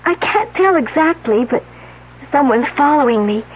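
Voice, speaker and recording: An actress playing a frightened, secretive young student in a 1970s radio drama, speaking haltingly and anxiously. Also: female voice, high voice, animated. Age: young adult. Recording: tinny, band-limited old radio recording.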